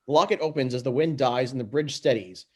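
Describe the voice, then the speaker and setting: A man reading stage directions aloud in an amateur script read-through, halting and tripping over words. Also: mid-range voice.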